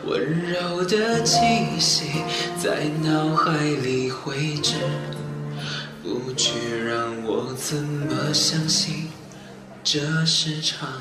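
A man's voice: fairly high pitch, drawn-out words. A man singing a pop ballad. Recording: echoey, loud guitar backing.

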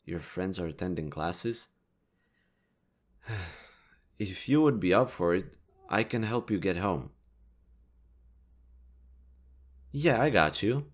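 A man playing a kind, reassuring young stranger in an intimate audio roleplay, speaking gently, considerately and a little hesitantly. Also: low voice, lively intonation, a little slow, long pauses. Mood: comforting. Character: kind, reassuring young male stranger helping a distressed girl.

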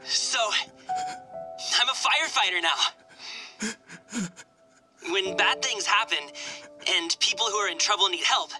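A man's voice: sits high, lively intonation, measured pace, long pauses, bright, forceful tone, faint music behind, full character voice. An actor playing an earnest, eager young man in a video game drama, speaking sincerely and informally. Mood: earnest, fond. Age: young adult. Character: earnest, eager young male firefighter, raised as an orphan.

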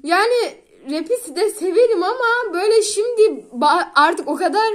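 High-voiced expressive young woman. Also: normal speed. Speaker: vlogger reacting to cartoon songs. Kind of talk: casual reaction chat. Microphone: phone on camera.

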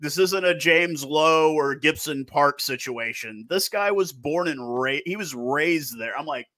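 A man in his thirties hosting a casual video call chat from a small room on a headset, animated in his talk. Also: fairly high pitch, unhurried, no echo. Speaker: host.

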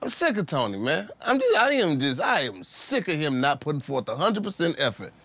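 A man's voice: a little high, wildly swooping pitch, brisk, fairly bright tone, soft background music. An American man in his thirties, a comedian bantering casually in a studio. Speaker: comedian contestant. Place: podcast studio, indoors.

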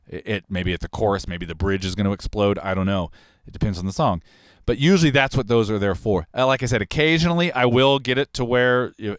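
A man in his forties, a recording engineer and host, talking in a relaxed, rambling, technical way in a studio control room on a broadcast mic. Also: mid-range voice, smooth, no room sound. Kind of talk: casual livestream talk on recording. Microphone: large broadcast mic on arm, headphones on.

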